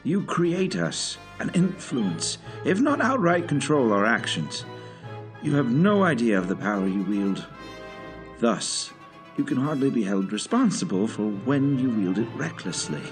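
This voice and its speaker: An actor playing a stern, weary older male director in an audio drama, speaking formally and with grave authority. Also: medium pitch.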